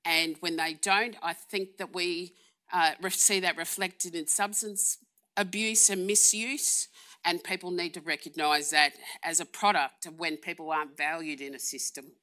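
A woman's voice: fairly low pitch, steady tone, moderate pace, bright, forceful tone. Earnest woman answering questions. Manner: earnest, candid. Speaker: keynote speaker answering questions. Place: lecture room, indoors.

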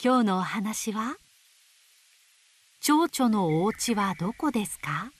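A woman reading picture books aloud to babies in a gentle, sing-song way, dwelling on playful sound words. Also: mid-range voice, very animated, slow, slightly rough. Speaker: storybook narrator voicing an owl. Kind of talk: children's picture book read-aloud.

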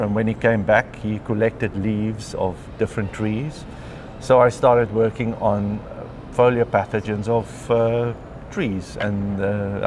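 A man in his fifties with a South African accent, telling his story in a relaxed conversational interview, recorded on a lapel mic in a large glass atrium. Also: lowish voice, slight background noise. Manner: chatty, frequent ums and clears throat. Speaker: interviewee, mycologist.

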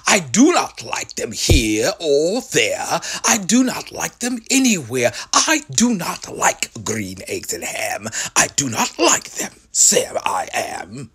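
A man's voice: mid-range voice, wildly swooping pitch, slow pace, hardly any pauses, fairly bright tone, husky, pitched below the performer's own voice, heavily acted. A man voicing a stubborn, grumpy storybook creature who refuses food with exasperated emphasis.

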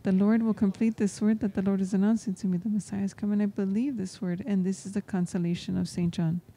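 Very dark, mellow-voiced woman interpreting a sermon. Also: medium pitch, little pitch movement, fast talker, slightly rough. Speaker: interpreter voicing a priest's homily in English. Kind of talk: live spoken translation of a sermon.

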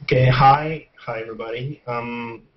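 Man in his 20s, soft measured voice, distant dull headset audio. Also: medium pitch, fairly even intonation, slight background noise. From France. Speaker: student. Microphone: headset on video call.

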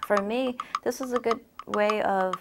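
A young woman talking in an interview about what she learned in a class, a little halting. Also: on the high side, steady tone, noisy background. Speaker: student in the program. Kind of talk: interview reflection.

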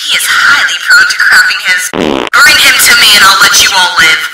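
A woman voicing a brash, bossy terrorist villain in an anime parody dub, loud and commanding. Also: medium pitch, lively intonation, normal speed, echoey room, recorded from a distance, loud music behind the voice, lightly acted, poor audio.

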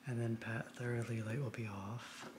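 A woman's voice: rumbling low, fairly even intonation, normal speed, a crowd in the background. A woman around her thirties chatting casually at home in short, understated replies. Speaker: vlogger's partner. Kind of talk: casual chat. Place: apartment.

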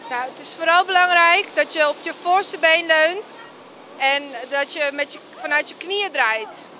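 Bright high-voiced woman instructor. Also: a little slow. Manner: plain, explaining. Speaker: ski instructor. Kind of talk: instructor interview. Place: indoor ski hall.

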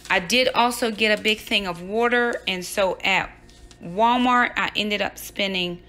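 A woman's voice: medium pitch, measured pace, pushed and bright. A woman in her thirties at home, chatting through a grocery haul and listing each item and its price. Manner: lists items and prices item by item, chatty asides. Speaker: vlogger, mom. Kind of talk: casual grocery haul chat. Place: home kitchen counter.

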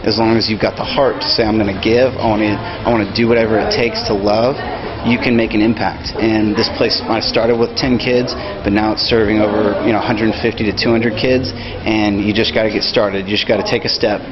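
Flat-voiced man, earnest and encouraging. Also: on the low side, brisk. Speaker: founder of a children's center. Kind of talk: earnest testimony. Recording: slightly muffled recording.

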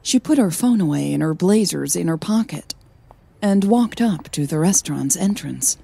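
A woman narrates a scripted audio story. Her voice is slightly low and dark, with a husky grain. She reads as a storyteller. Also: expressive, normal speed.

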